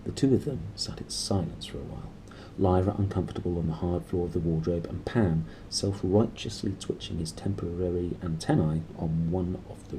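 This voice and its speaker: Deep, mellow male storyteller. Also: a little hiss or hum, poor audio. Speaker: reader and host. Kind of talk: expressive audiobook narration with casual asides.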